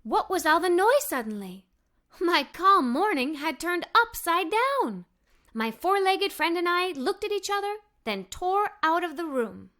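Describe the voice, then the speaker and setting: A woman narrating a children's story as a read-aloud, with animated, expressive delivery. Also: very high-pitched, unhurried.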